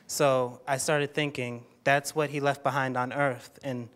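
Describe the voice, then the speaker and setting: An American man in his twenties giving a thoughtful, halting answer into a handheld stage microphone. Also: medium pitch, level tone, fairly bright tone. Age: 20s. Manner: hesitant, reflective. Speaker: actor answering on a panel. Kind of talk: thoughtful spoken answer to an audience. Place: auditorium stage.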